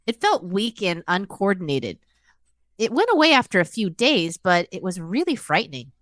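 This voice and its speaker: A woman's voice: mid-range voice, big pitch swings, moderate pace, fairly bright tone, no room sound. A woman playing a worried, forthcoming patient in her mid-fifties, earnest and conversational, in an acted exam-practice consultation.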